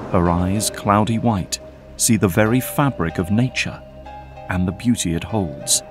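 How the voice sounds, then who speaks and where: A man reading scripted narration for an audio drama. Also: low voice. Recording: prominent music under narration. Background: music.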